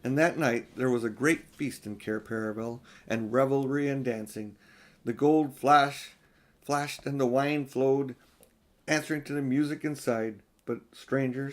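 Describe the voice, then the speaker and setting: An older man reads a storybook aloud in a mid-pitched voice. He takes his time over the phrases and leans on key words with clear emphasis, as an amateur-style audiobook narrator would. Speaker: audiobook reader. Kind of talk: storybook read-aloud with casual asides.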